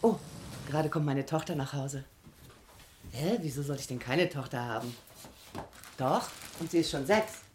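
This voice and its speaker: A woman's voice: low voice, normal speed, pauses often, darkish tone, slight background noise, lightly acted. An actress playing a reckless, exuberant and capricious young mother in a radio drama, theatrical and impulsive. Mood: evasive.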